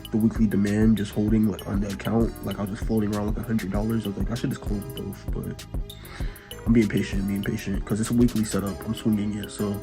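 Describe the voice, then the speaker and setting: A young man vlogging about his day trading in a relaxed, slangy, rambling way, full of fillers. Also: fairly low pitch. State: congested with a sore throat. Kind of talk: casual vlog chat.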